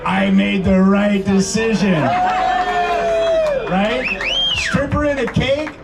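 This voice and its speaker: A man in his fifties on a screening panel, telling lively stories to an audience with frequent laughs. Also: high-pitched, slow pace, creaky. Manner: animated, laughing storyteller. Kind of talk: storytelling to an audience. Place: screening event before a crowd. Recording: distant mic, crowd noise.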